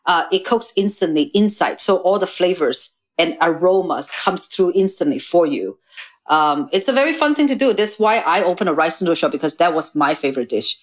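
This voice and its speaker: A woman's voice: fairly low pitch, expressive, fairly quick. A woman from Singapore, Hong Kong and mainland China, a chef talking casually as a podcast guest. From grew up in Singapore, Hong Kong and mainland China. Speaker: guest chef and restaurant owner.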